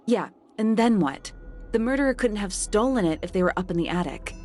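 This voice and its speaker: A woman playing a sharp, pensive detective in a mystery video game, speaking in short, measured lines as she works through a case. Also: mid-range voice, expressive, slight background noise, close to an everyday voice. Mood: pensive, questioning.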